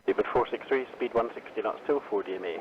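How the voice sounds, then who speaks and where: A male air traffic controller speaks over a muffled radio channel at a middling pitch. He rattles off clipped procedural instructions extremely quickly, with faint noise on the line. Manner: terse, procedural. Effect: radio transmission.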